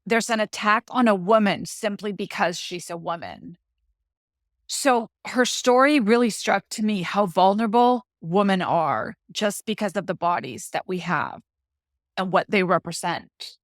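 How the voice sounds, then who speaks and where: A woman's voice: mid-range voice, a little slow, dry recording. This is a middle-aged woman from Colombia speaking as a guest in an interview. She is reflective and often restarts as she looks for the right words. Her voice sits in a middling register and the recording is dry and close. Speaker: author and guest. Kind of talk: interview conversation.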